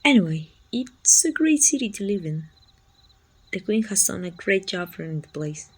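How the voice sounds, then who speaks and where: A woman's voice: mid-range voice, very animated, normal speed, breathy edge. A woman with a Mexican accent playing a friendly, helpful, chatty city guard from a medieval fantasy kingdom in an ASMR roleplay, speaking reassuringly and politely to the listener. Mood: chatty, informative.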